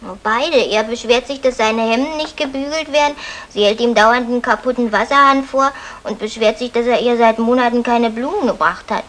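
An actress playing a sweet, naive young housemaid in an old German radio comedy, earnest and artless. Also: female voice, a little high, brisk, hardly any pauses, a bit bright, natural voice.

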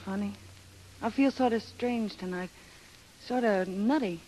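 A woman's voice: medium pitch, a little slow, dark tone, natural voice. An actress playing a tough, world-weary young woman with blunt slang in a 1930s radio drama. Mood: dreamy, wistful. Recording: noisy old 1930s radio recording.

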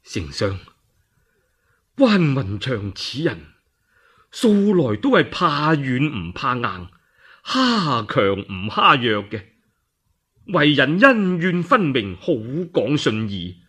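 A Cantonese-speaking man voicing a shrewd adviser counselling his lord in a radio story, deliberate and respectful. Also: medium pitch, sing-song, bright, forceful tone, slightly rough, pitched below the performer's own voice, full character voice. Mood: earnest counsel.

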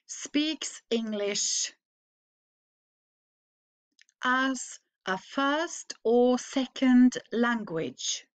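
Norwegian teacher reading slowly, higher voice. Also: female voice. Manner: slow, clearly phrased read-aloud with pauses. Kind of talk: slow read-aloud for learners to repeat.

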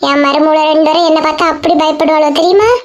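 A high-pitched, strict mother-in-law played by a woman, sure of herself and fond of boasting. She hands out firm advice in a Kanyakumari Tamil accent, in the manner of a comic cartoon. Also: fairly even intonation, fast talker, lightly acted. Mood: boastful, advising. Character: self-assured, bossy, strict mother-in-law giving advice.

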